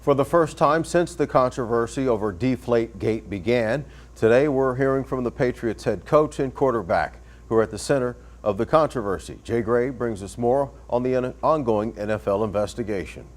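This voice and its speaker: A man's voice: medium pitch, normal speed, few pauses. An American man anchoring a newscast, reading stories and trading casual desk banter. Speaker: news anchor.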